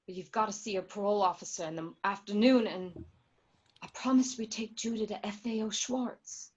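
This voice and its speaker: A woman's voice: mid-range voice, normal speed, a little mellow, husky, close to an everyday voice. A woman playing an anxious, nagging gangster's wife in an amateur radio drama read, on a lo-fi microphone. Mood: worried. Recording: dull lo-fi microphone.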